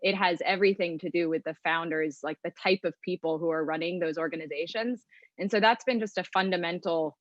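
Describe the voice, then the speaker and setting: A woman's voice: fairly low pitch, a little fast, dry recording, lo-fi sound. A woman speaking as an investor on a panel, explaining her points thoughtfully in a conversational way. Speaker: venture capital investor, panel guest.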